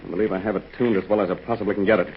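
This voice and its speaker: Calm, patient hero captain, voiced by a man, quick delivery, old dull radio sound. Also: lowish voice, close to an everyday voice. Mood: steady. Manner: steady, patient, explaining. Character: calm heroic adult aviator captain. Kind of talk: 1940s radio acting. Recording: muffled old radio with faint hiss.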